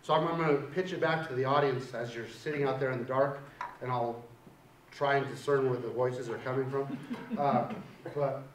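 A man's voice: medium pitch, fairly even intonation, moderate pace. A middle-aged man giving a university poetry lecture, chatty, who keeps checking in with "right?" and reads a poem aloud. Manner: conversational, keeps asking "right?". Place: lecture hall. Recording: echoey hall from far off, faint hiss.

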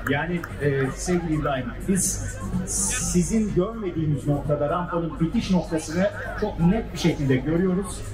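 A man doing excited, exclamatory live commentary as announcer at an outdoor event, hyping up the crowd. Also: on the high side, normal speed. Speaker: live event announcer at an air show and flying contest. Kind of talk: excited live commentary over a public address system. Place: outdoor seaside public event. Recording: echoey loudspeakers outdoors, distant, loud music and crowd. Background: music and crowd noise.

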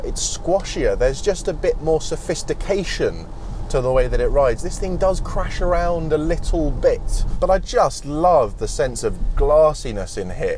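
A man's voice: on the high side, a little mellow, a little hiss or hum. A young man with a British accent presenting a car review to camera. He talks in a chatty, wry, opinionated way while driving, and later stands outdoors at a charger. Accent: British English. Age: young adult.

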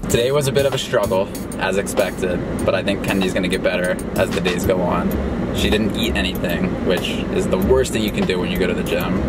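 Male vlogger, twenties, American, casual and pushy coaching delivery, music underneath. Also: sits low. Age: 20s. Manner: teasing, pushy encouragement. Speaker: vlog host, brother coaching his sister. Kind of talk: casual vlog chat and coaching.

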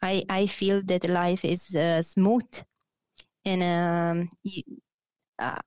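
A slow, unhurried Italian woman in her thirties, speaking over a headset on a video call. Her voice is lowish and smooth, and the sound is close and dry. She pauses often and restarts her sentences, with plenty of fillers. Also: flat delivery, a little mellow, no room sound. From Italy, Naples. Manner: hesitant, many fillers and restarts. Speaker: guest, architect. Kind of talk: casual interview. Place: bright office.

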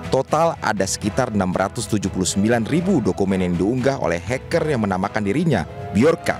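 A man's voice: lowish voice, very animated, brisk. A man reading a scripted TV news report as voice-over. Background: news background music.

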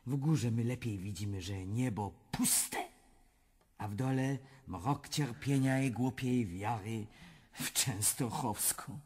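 An actress playing an imperious, lustful and volatile Russian empress in a radio drama, with a commanding theatrical manner. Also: female voice, rumbling low, slow pace, husky, exaggerated voice. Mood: urgent, confiding. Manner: commanding, theatrical, swinging between murmurs and shouts.

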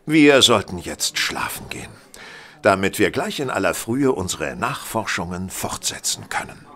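An actor playing a brisk, driven gentleman detective in a German audio drama, speaking in a commanding, formal, theatrical way. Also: on the low side, very animated. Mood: brooding, determined.

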